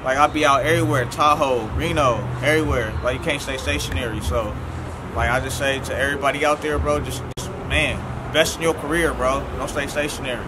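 Young rapper over loud street traffic. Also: male voice, mid-range voice, fairly even intonation, fairly quick. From Northern California. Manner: laid-back street slang, emphatic when making a point. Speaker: rapper guest. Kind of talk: casual interview. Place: outdoors at night in front of a storefront. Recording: loud street noise outdoors. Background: traffic noise.

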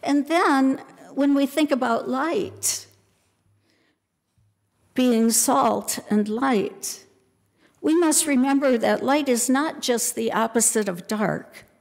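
An older woman pastor giving a sermon in a church, speaking in a measured way and pausing on lists. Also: high voice, gravelly, in a large hall. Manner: slow, measured preaching with deliberate lists. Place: church sanctuary.

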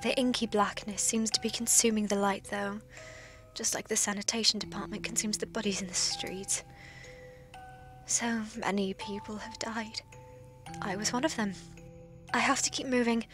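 A woman's voice: medium pitch, fairly even intonation, moderate pace, music in the background, natural voice. A woman playing a lonely, forgetful undead woman recording hesitant, intense logs in an audio drama. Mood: weary. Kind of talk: audio drama log monologue.

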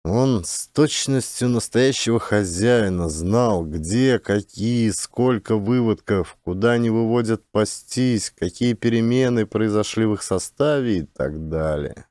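A man narrating a literary short story as an audiobook, in a measured, expressive storytelling manner. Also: fairly low pitch, no room sound, studio quality.

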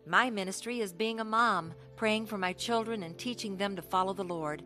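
An actress playing a steadfast middle-aged mother with an American accent, telling her testimony in an earnest, measured way for a radio drama. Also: medium pitch, pushed and bright, faint music behind, lightly acted. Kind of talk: radio drama narration and dialogue.

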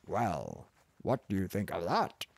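A man's voice: deep voice, wildly swooping pitch, slow, vocal fry, slightly rough, dry recording, heavily acted. A man reading an audiobook, voicing a pompous, contemptuous older male professor in a blustering, condescending manner. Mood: lecturing. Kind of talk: audiobook character voice.

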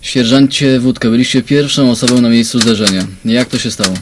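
Guy as police commissioner grilling suspects, methodical, middle pitch, radio play, noisy recording. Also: steady tone, close to an everyday voice. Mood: probing, stern. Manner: measured, pressing questioning. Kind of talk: crime radio drama acting.

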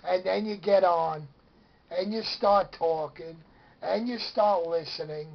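An older man chats casually about the past at an unhurried, slow pace. His voice has a creaky, gravelly edge. He rambles from one story to the next, and the lo-fi sound is dull, like a camera mic in a small room. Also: sits high. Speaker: vlogger. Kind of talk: casual reminiscing chat. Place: small room indoors, in an armchair.

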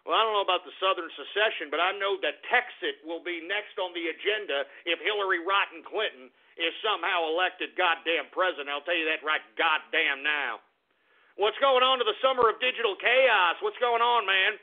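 A man hosting an internet talk radio show, ranting in a loud, profane, exasperated way, with sudden shouted outbursts. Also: high voice, moderate pace. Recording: tinny phone-like lo-fi audio.

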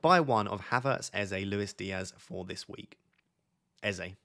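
A man in his thirties with a sore, fading voice, reading viewer questions and thinking aloud on a live stream from a home desk. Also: on the low side. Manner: thinks aloud, reads questions from chat, talks quieter and slower. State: losing his voice, sore throat. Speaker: fantasy football streamer. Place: indoor home studio at a desk.

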